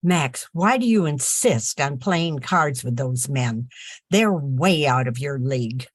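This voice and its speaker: Woman playing a low, unhurried, sharp-tongued sister. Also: lively intonation, no room sound, heavily acted. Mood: exasperated, firm. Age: older. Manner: folksy, firm. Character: sensible, sharp-tongued farm-raised sister of a gambler. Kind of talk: 1940s radio play acting.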